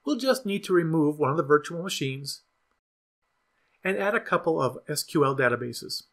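A man narrating a scripted software tutorial in an even, instructional way. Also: on the high side, normal speed. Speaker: product demo narrator.

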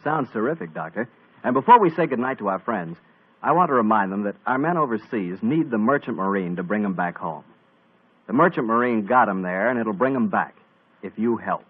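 A young male radio announcer with an upbeat, smooth 1940s commercial delivery. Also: fairly low pitch, animated, brisk. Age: young adult. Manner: upbeat, smooth salesman patter. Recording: tinny old radio broadcast.

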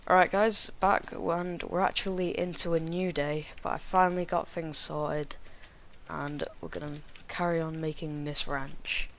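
A man narrating his own gameplay in a casual, mumbling way, sounding croaky from a cold and talking to the animals on screen. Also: fairly high pitch, flat delivery, fairly bright tone, a little hiss or hum. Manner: slow, mumbling, talks to the animals. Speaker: gaming video creator.

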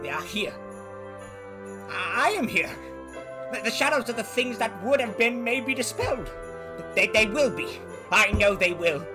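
A man acts out an old miser in a radio play, his voice pitched high. He is theatrical and fervent, a repentant soul given to exclaiming, with music around him. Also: animated, moderate pace, long pauses. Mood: fervent relief. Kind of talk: radio drama acting.

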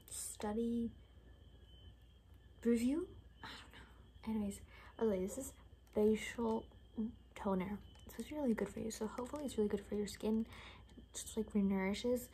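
Young woman for improvised ASMR roleplay: soft, whispery, relaxed tone, rambling repetitive delivery, slightly noisy bedroom recording. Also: mid-range voice. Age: young adult. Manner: hushed, unhurried, rambling, repeating words. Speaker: ASMR creator playing a caretaker. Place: small bedroom, indoors.

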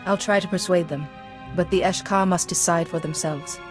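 A woman playing a calm, principled Jedi Master in a video game, composed, measured and formal. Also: lowish voice, natural voice. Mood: composed, resolute. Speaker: game protagonist. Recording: music clearly under the voice.

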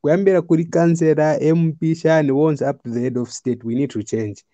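A man's voice: fairly high pitch, steady tone, fairly quick, warm tone, no room sound. A man calling in to a live show with political opinions, mixing English and a local language. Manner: mixes English and local language, repeats himself. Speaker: caller. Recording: dull lo-fi call audio.

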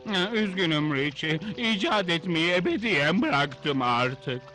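High, flustered cartoon professor. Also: male voice, animated, takes it slowly, bright, forceful tone, soft background music, full character voice. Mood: stubborn, sulky. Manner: flustered, hesitant, exclaiming. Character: excitable, kindly, flustered older male professor inventor. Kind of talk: dubbed cartoon acting.

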